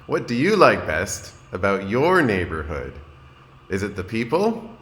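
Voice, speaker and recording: A man, a kindergarten teacher, reading a storybook aloud to young children in an expressive, deliberate way and addressing his listeners. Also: lowish voice. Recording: roomy echo, noticeable background noise.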